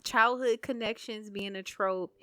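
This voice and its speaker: A woman chatting on a podcast, explaining things in a slow, measured way with many fillers. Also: medium pitch, fairly even intonation, sharp tone, dry recording. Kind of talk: casual podcast chat.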